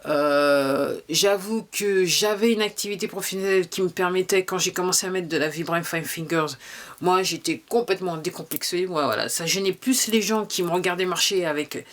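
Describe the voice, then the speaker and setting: A woman's voice: fairly low pitch, moderate pace. A woman in her fifties talking casually to camera about her shoes. She rambles, restarts often and fills gaps with "euh" and "voilà". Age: 50s. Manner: rambling, many restarts and fillers. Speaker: vlogger.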